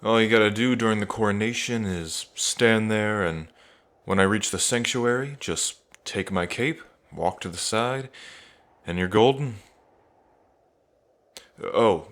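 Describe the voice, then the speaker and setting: A man's voice: fairly low pitch, a bit bright. A man playing a young dragon king in an ASMR roleplay, cocky, playful and affectionate, talking in a casual, teasing way straight to the listener.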